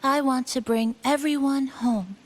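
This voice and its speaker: A woman voicing a cute, gentle, nostalgic mascot spirit in a video game, speaking softly and playfully. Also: high-pitched, measured pace. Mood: wistful, fond.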